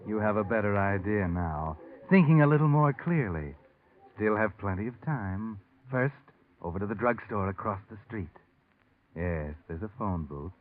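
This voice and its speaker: An actor playing a sinister, all-knowing narrator in a vintage radio mystery, taunting in clipped phrases. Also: male voice, low voice, slight background noise. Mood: sly, prodding. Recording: muffled vintage radio recording.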